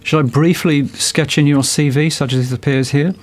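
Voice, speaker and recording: A man interviewing an artist in a chatty, relaxed way with quick asides. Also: fairly high pitch. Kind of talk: casual interview talk.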